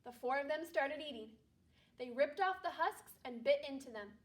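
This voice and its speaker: A woman teacher reading a storybook aloud to young children, speaking to them directly in an animated way. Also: high voice, moderate pace, distant mic. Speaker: second grade teacher.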